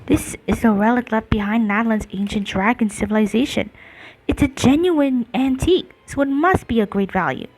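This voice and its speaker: A woman game streamer reading dialogue aloud during gameplay, halting and correcting herself as she goes. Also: on the high side, darkish tone, low-quality recording.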